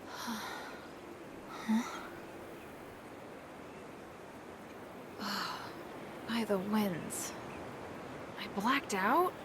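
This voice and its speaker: High-voiced, determined young adventurer heroine. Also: female voice, noisy background. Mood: dazed. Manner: expressive, musing aloud. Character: determined young female adventurer, daughter on a mission. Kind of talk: video game voice acting, talking to herself. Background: music.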